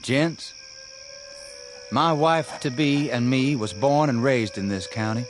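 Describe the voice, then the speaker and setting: A man's voice: mid-range voice, slow pace, over music, natural voice. An actor playing a stubborn young country man in a radio drama, with a rural Southern US accent and a folksy, plain-spoken manner. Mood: defiant, menacing calm. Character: defiant young country man suspected of being undead.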